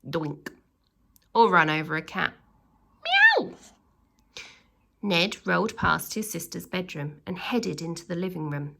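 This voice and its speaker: A woman reading a children's story aloud expressively, with theatrical, drawn-out emphasis on key words. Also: on the low side, creaky, sharp tone, slight background noise.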